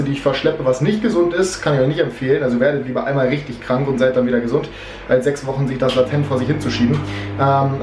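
A young man in his twenties, chatting casually in a weekly vlog with a slight cold, rambling and poking fun at himself, filmed on a camera held at arm's length. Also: mid-range voice. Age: 20s. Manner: chatty, self-mocking, rambling asides. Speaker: vlogger. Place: home kitchen and inside a car. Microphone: handheld camera at arm's length.

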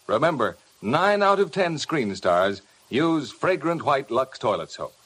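A male American radio announcer in the 1950 style. His delivery is polished and promotional, with very varied pitch and a slightly rough edge. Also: big pitch swings, unhurried, fairly bright tone.

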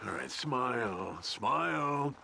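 Fretful husband, western game. Creaky, hushed, animated pitch, fussy. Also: male voice, slow, slight background noise, heavily acted. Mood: hushed embarrassment. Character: fussy husband anxious about appearances. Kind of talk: video game cutscene acting.

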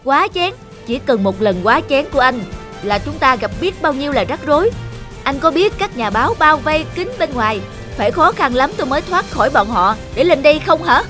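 A man's voice: very high-pitched, expressive, a little fast, over music. A man voicing a pushy, stern middle-aged talent manager in a cartoon, bossy and insistent. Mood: angry, scolding. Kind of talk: cartoon voice acting.

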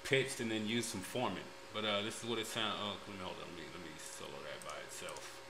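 A man with a deep voice talks through his production workflow in a relaxed, rambling way, filling gaps with "uh" as he works. The room rings back around him, and a steady noise hums under the recording. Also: fast. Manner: laid-back, rambling, many fillers. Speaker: music producer. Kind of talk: casual software tutorial. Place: home studio room, indoors. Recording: echoey room with background noise.

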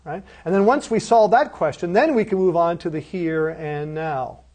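High-voiced man lecturing on preaching. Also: normal speed, a little mellow. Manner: earnest, teacherly, asks rhetorical questions. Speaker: seminary lecturer.

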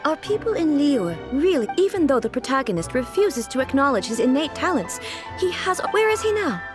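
A regal female god-ruler who speaks formally and calmly, her fairly high voice moving with lively melody. Game music runs underneath. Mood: inquisitive. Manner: formal, calm and measured. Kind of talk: video game voice acting.

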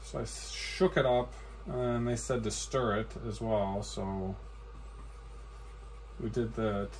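A man in his forties, a guitar builder, calmly explaining each step of his work in a matter-of-fact how-to narration in his workshop. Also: lowish voice, takes it slowly, slight background noise. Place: cluttered workshop indoors.

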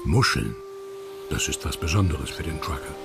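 A man reading scripted documentary narration in a lively voice over music. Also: rumbling low, normal speed, creaky.

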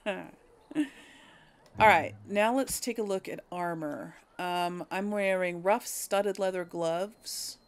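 A woman's voice: on the low side, slow, clean tone. A woman giving casual game commentary, thinking aloud in a hesitant, musing way as she hosts. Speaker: gaming video host.

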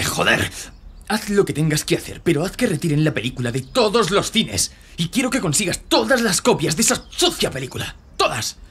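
An actor playing a domineering, jealous arms-dealer husband in an audio drama. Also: male voice, sits high, a bit bright, a little hiss or hum, exaggerated voice. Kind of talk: Spanish audio fiction drama.

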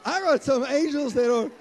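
A man, preaching a lively sermon on a church stage into a handheld mic, playful and building to loud emphatic bursts. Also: extremely high pitch. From South Africa. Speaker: pastor. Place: church hall stage with audience. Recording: hall reverb, live church PA.

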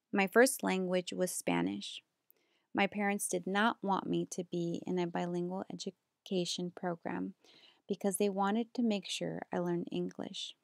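A young woman from the United States, a graduate student reading a prepared slideshow presentation in a steady, earnest way. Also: medium pitch, gravelly, dry recording, studio quality.

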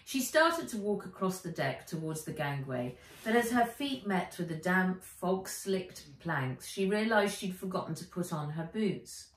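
Slow, low-pitched woman reading a story. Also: expressive. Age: middle-aged. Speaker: author reading own book. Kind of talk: expressive children's storybook narration. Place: living room or sunroom, indoors. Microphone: camera or phone a few feet away. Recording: roomy, distant home recording.

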